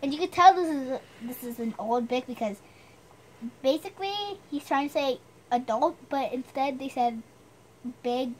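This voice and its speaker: A woman's voice: sits high, moderate pace, slight background noise, rough recording. A woman reading a storybook aloud with chatty, self-deprecating asides, stumbling over the odd word.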